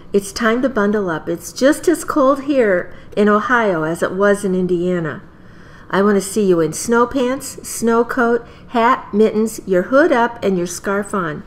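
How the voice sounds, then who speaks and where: An American woman playing a brisk, caring mother of a young family in a radio play. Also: medium pitch, lightly acted. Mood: brisk, bossy. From United States.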